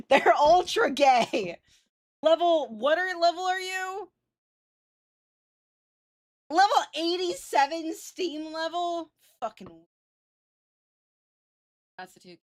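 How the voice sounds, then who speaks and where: A woman's voice: very high voice, drawn-out words, long pauses. A woman livestreamer chatting casually while gaming, informal and sweary.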